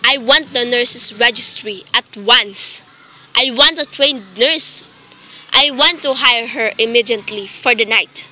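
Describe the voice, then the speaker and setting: A woman's voice: a little high, a little slow, pushed and bright, poor audio. A woman playing a nervous, demanding invalid wife in a dramatic radio play. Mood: fretful, demanding. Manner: imperious, dramatic, fretful.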